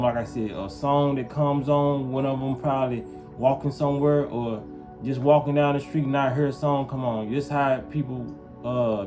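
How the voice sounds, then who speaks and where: A man from Memphis talking casually to his viewers, rambling and often checking in with them. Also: medium pitch. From Memphis, US South. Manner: rambling, keeps asking "you feel what I'm saying". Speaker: vlogger. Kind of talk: casual talk to camera.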